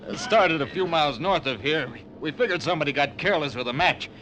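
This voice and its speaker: A determined ranger in a 1940s radio drama, his high, bright voice urgent as he gives orders. A faint hiss runs under the old recording. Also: lively intonation, fast, low-quality recording. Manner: urgent, commanding.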